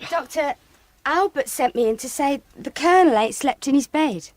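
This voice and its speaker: Young maid, very high bouncy voice, artless and dutiful, dry sound. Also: female voice, expressive, moderate pace, no room sound. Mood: urgent. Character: young, artless, dutiful housemaid with homely speech. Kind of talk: radio drama acting.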